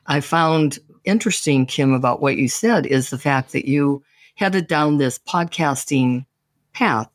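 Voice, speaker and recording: A man's voice: fairly high pitch, unhurried, dry recording. A man hosting a podcast interview, talking at length in an enthusiastic, encouraging way. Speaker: podcast host. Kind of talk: casual interview conversation.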